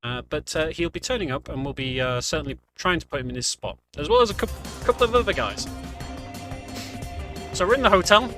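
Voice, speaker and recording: A man's voice: a little high, lively intonation, a little fast, pushed and bright. A man giving casual, slightly hesitant gameplay commentary as a speedrunner, often correcting himself as he goes. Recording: game music behind voice. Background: game music and sounds.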